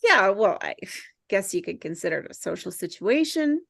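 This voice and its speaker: An awkward, eager-to-belong schoolboy , acted by a woman in a drama read. The voice is medium-pitched and recorded dry, with stammering, rambling phrases and an earnest nerdy air. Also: big pitch swings, moderate pace, gravelly, no room sound. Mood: awkward, hesitant. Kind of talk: script table read.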